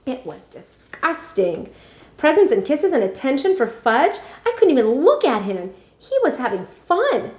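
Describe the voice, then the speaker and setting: A woman narrating a children's story aloud like a teacher, expressively and with clear phrasing. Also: high voice, very animated, a little slow, a little mellow. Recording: echoey room.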